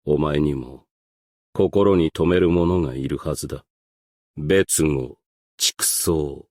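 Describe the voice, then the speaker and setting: A man voicing a composed, stern, ancient strategist and Go master for a game, with formal, archaic, measured and reserved diction. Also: low-pitched, darkish tone, dry recording, studio quality, exaggerated voice. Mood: solemn, resigned. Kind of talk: scripted game character voice lines.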